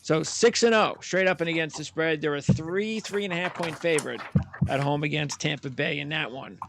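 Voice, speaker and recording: A man's voice: a little high, creaky, a bit bright, a little hiss or hum. A man working as a podcast producer, giving channel plugs and reading betting trends in an upbeat way with plenty of uh and um. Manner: upbeat, fills pauses with uh and um.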